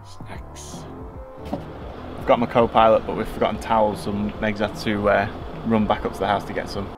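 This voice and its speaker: A man's voice: fairly low pitch, moderate pace, over loud music. A man, chatting casually to a handheld camera from a campervan and the outdoors. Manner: relaxed, chatty, with frequent "uh" and "um". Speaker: vlogger. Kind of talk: casual travel vlog to camera. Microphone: handheld camera at arm's length.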